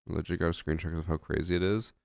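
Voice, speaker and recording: A man's voice: low voice, fairly even intonation, fast, vocal fry, darkish tone, no room sound, clean studio sound. A young man streaming a shooter game, chatting casually with his chat and thinking aloud with fillers, a little stuffy from a head cold.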